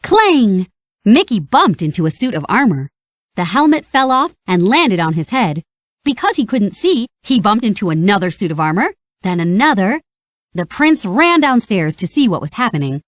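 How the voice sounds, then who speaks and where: A woman reading a children's read-along story in an expressive, scripted storytelling style. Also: medium pitch, moderate pace, no room sound, studio quality. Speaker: storybook narrator.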